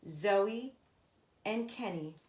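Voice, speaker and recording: Animated woman reading aloud, echoey room. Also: medium pitch, extremely slow. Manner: emphatic, animated storytelling, addressing young listeners. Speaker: teacher reading aloud to children. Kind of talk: expressive children's read-aloud narration. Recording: echoey, roomy sound.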